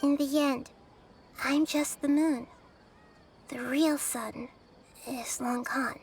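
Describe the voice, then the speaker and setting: High-voiced gentle young girl deity. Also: steady tone, slight background noise. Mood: gentle, solemn. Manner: measured, explanatory, earnest. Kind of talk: video game voice acting.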